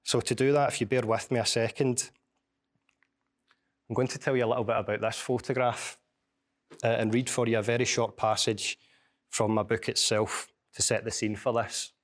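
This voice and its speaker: A man's voice: mid-range voice, little pitch movement. A man with a strong Glasgow accent gives a university seminar, telling stories and reading a passage from his book. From Scotland. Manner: storytelling, measured, with small restarts. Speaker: lecturer and author.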